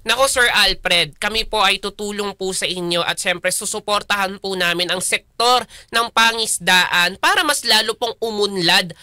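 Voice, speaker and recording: Bright, close-sounding Filipino woman radio host. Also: mid-range voice, normal speed, dry recording. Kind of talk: conversational radio interview hosting.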